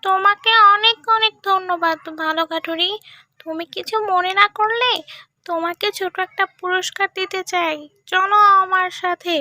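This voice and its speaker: A small, helpful talking fox from a cartoon, acted by a woman. The voice is extremely high with a sharp, bright edge. It sounds polite and earnest, recorded very dry. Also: fairly even intonation, normal speed, no echo, exaggerated voice. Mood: grateful, eager. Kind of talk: cartoon story acting.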